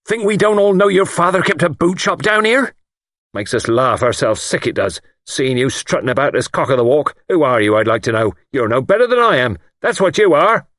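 A man voicing a tipsy, insolent young working-class chauffeur in an audiobook, talking in a rough, loose-tongued way. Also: high voice, normal speed, dry recording, studio quality, pitched above the performer's own voice. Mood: insolent, angry.

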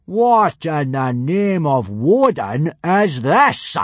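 A furious Viking drill master for a storybook. He roars high and strained, stretching his words slowly with booming scorn and outrage. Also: big pitch swings, no echo, heavily acted. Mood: furious, outraged. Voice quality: booming, roaring. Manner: scornful, drawn-out outraged shouting. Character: huge furious bellowing Viking pirate instructor, adult man. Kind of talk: audiobook character voice.

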